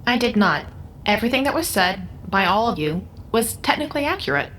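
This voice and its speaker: Actress as artificial female intelligence, audio drama. Stilted, literal, detached delivery, mid-pitched, some background noise. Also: a little fast. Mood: calm, detached. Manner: precise, stilted, deadpan. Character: formal, literal, unemotional artificial female being.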